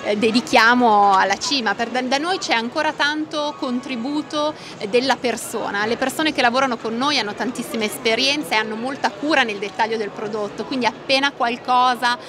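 A woman's voice: a little high, moderate pace, few pauses, over music. A woman, explaining things enthusiastically in a conversational interview as a company executive. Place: open trade-show stand. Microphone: handheld microphone held by interviewer. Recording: busy trade-fair crowd noise. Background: crowd bustle.

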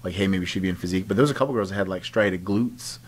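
A man's voice: sits low, rapid-fire. A man in his thirties doing a casual, joking interview on a handheld microphone. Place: indoor backdrop set with couch at a competition venue.

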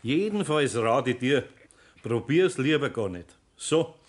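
Man acting an older craftsman, Bavarian accent, mid-pitched, patient, firm, homely, 1960s radio play. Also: lively intonation, natural voice. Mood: stern, firm. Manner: homely, deliberate, instructing. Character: patient but firm older master carpenter.

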